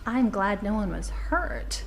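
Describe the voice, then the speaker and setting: A woman's voice: medium pitch, lively intonation, takes it slowly, a little hiss or hum. A woman playing an upbeat small-town tourism host, earnest, polite and gushing as she narrates and interviews. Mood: polite, interested. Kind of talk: scripted promotional narration and interview.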